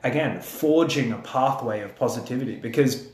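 A man's voice: mid-range voice, normal speed, darkish tone. A young man hosting a podcast, speaking earnestly and encouragingly in long, building sentences. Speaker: podcast host. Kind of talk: reflective podcast conversation. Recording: roomy echo.

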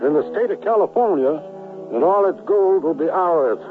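An actor playing the menacing ringleader of a band of plotters, authoritative and deliberate in old-fashioned radio drama style. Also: male voice, high voice, expressive, narrow, tinny audio. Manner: authoritative, deliberate, smoothly threatening. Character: commanding, menacing male ringleader of secessionist plotters. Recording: band-limited radio broadcast, music behind.

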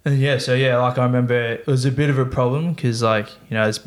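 A man's voice: medium pitch, fairly even intonation, fast. A young man, a podcast guest, talking casually and rambling through fillers and restarts. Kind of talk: casual chat.

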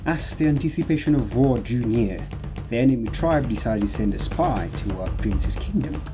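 Male storyteller for scripted audio drama, mid-range pitch, prominent music bed. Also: lively intonation, moderate pace. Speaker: story narrator.

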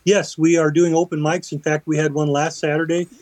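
A man with a slightly high voice talks up events for a community theater group on a radio show. His delivery is upbeat but hesitant, recorded dry and close. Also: flat delivery, a little fast, a little mellow, no room sound. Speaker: theater group vice president. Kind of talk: radio interview guest.

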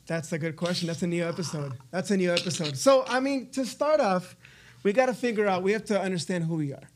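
An Argentine man co-hosting a podcast in an easy, joking way. His voice sits high for a man, and he keeps the chat lively and upbeat. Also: quick pace, a little hiss or hum. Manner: enthusiastic, playful. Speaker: podcast co-host. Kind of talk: casual chat.

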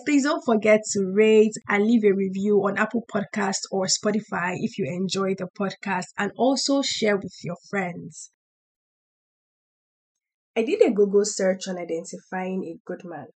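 A young woman hosting a faith podcast, talking in a chatty way with a hoarse voice and laughing between points. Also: mid-range voice. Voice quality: husky. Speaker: podcast host. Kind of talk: faith-based casual podcast talk.

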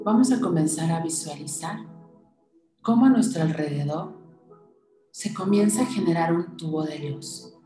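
A woman leading a guided meditation in a soothing manner, giving short instructions with pauses between them. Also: lowish voice, roomy sound. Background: music.

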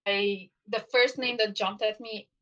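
A woman's voice: mid-range voice, fairly even intonation, unhurried, a bit bright. A woman whose first language is Arabic, co-hosting a podcast in casual chat, hesitant with many fillers. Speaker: podcast co-host. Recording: dull lo-fi mic.

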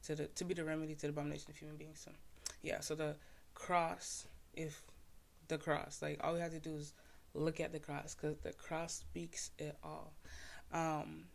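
A man's voice: fairly high pitch, monotone, normal speed, vocal fry. A young man from Haiti, a podcast host and poet, casually explaining his own poem in laid-back slang full of "like" fillers.

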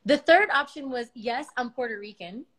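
High-voiced, animated woman from Miami. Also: moderate pace. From Miami, United States. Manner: animated, exasperated storytelling with frequent swearing. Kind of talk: casual chat.

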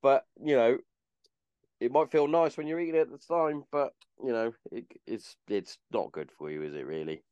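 A man's voice: mid-range voice, expressive, normal speed, a little mellow, smooth, dry recording, muffled recording. A man in his mid-thirties in casual chat, blunt and sardonic, swearing freely. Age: 30s.

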